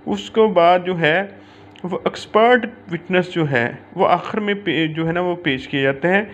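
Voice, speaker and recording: A man's voice: high voice, measured pace, fairly bright tone. A man with a Pakistani accent giving an informal explanatory law lecture, dropping in English legal terms and frequent filler phrases. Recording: dull lo-fi audio, clear background noise.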